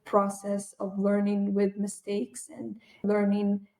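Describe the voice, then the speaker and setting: A woman's voice: mid-range voice, little pitch movement, slow, gravelly, warm tone, rough recording. A woman whose first language is Arabic, a guest on a podcast, talking in an earnest, emphatic way about teaching English. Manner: earnest, emphatic, often says definitely. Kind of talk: conversational podcast interview.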